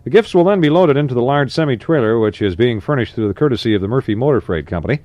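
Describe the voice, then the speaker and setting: A man's voice: mid-range voice, lively intonation, fast talker, a little mellow, no echo, lo-fi sound. An American man working as a 1960s AM radio DJ, reading announcements in a conversational announcer style with short asides. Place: radio studio.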